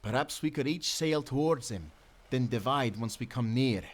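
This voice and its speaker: An actor playing a confident, loyal Scottish sailor who talks in jaunty nautical dialect in an audio adventure. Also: male voice, medium pitch, normal speed, close to an everyday voice. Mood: earnest, planning. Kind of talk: cinematic audio adventure acting.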